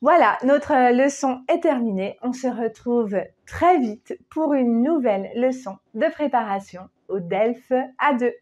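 Patient woman language teacher, unhurried and clear, a touch high-pitched. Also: lively intonation, darkish tone. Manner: patient, deliberate, reads model answer slowly. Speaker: French teacher. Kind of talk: slow, clear exam-prep lesson. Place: small plain indoor room. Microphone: lapel mic.

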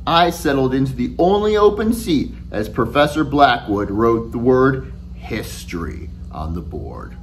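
A middle-aged man, an author reading his children's novel aloud to camera in an expressive, deliberate storytelling manner. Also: a little high, a little hiss or hum. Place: furnished room at home, indoors.

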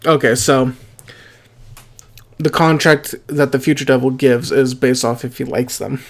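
A man's voice: medium pitch, fairly even intonation, a little slow. A young man hosting an anime reaction video, chatting casually from a small room at home with plenty of fillers and restarts. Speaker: anime reaction channel host.

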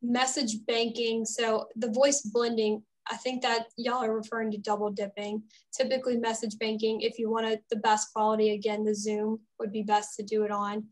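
A woman's voice: fairly high pitch, flat delivery, normal speed, few pauses, poor audio. A young woman answering questions informally as a specialist on a webinar panel over a video call. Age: young adult. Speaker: speech-language specialist on a panel. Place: small office, indoors.

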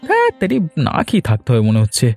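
A man voicing a hurried, meek young office-worker husband in a cartoon fairy tale, flustered, pleading and deferential. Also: sits high, huge pitch swings, vocal fry, darkish tone, faint music behind, exaggerated voice. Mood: flustered, dejected.

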